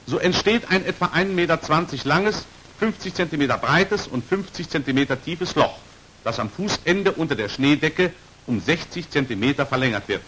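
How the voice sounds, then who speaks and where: A man's voice: on the high side, animated, normal speed, a bit bright, low-quality recording. A man narrating an old 1940s instructional film in a precise, formal manner, on an aged film soundtrack. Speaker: narrator of a military training film. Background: hiss.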